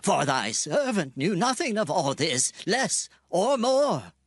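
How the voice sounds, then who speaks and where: A man's voice: high-pitched, very animated, slow, husky, dry recording, studio quality, heavily acted. A man playing an earnest, dignified priest in a scripture drama, speaking with solemn deliberation. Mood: earnest protest. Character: earnest, dignified priest pleading innocence before a king.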